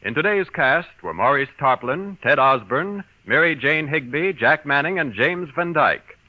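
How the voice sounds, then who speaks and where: An American male announcer from the golden age of radio, reading his script in a fairly high voice with lots of movement in pitch. The recording is old and dull. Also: animated, measured pace.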